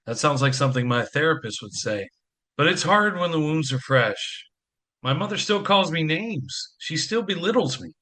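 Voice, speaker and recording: Guy acting anxious divorcing dad in an audio drama, sharp highish voice, wry and self-deprecating. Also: animated, moderate pace. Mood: wounded. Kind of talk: community theatre audio play acting.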